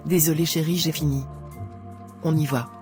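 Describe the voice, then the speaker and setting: A woman's voice: low voice, steady tone, normal speed, close to an everyday voice. Loving, playful mother figure for animation, female actor, teasing tone, prominent musical backing. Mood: affectionate, teasing. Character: affectionate, teasing wife and mother. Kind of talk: animated drama dialogue. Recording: clear music behind the voice.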